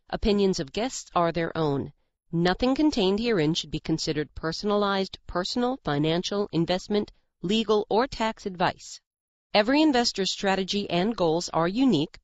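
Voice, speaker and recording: A woman's voice: on the low side, no room sound, muffled recording. An American woman announcer giving a formal, scripted reading of a disclaimer.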